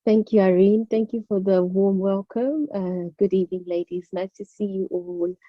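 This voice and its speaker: A woman's voice: mid-range voice, flat delivery, moderate pace, no echo. A young woman from Zimbabwe, raised partly in the UK, giving a casual motivational talk as an online guest speaker. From born in Zimbabwe, moved to the UK aged about nine. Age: young adult. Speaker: guest speaker, former pageant contestant. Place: online video call. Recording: dull, dry lo-fi call audio.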